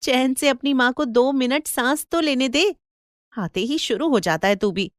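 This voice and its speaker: A woman voicing an excitable, hardworking mother in an animated story, with exclamatory, wondering delivery. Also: high-pitched, unhurried, no echo, studio quality. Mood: exasperated. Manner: exclamatory, wondering, mildly scolding. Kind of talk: animated Hindi storybook acting.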